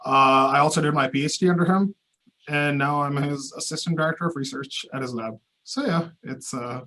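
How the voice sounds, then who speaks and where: A man's voice: fairly high pitch, brisk, sharp tone. A man from Pakistan, a research scientist, answering interview questions in a hesitant way with many uhs and restarts.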